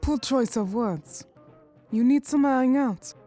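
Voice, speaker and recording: A woman's voice: high voice, measured pace, over music. An actress playing a commanding, cunning female crime boss of the 1920s in an audio drama, imperious and coolly menacing. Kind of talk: period audio drama acting.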